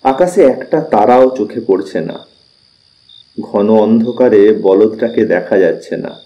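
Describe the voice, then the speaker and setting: Dark-voiced man narrating slowly. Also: fairly low pitch, muffled recording. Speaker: audiobook narrator. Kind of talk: scripted suspense story narration.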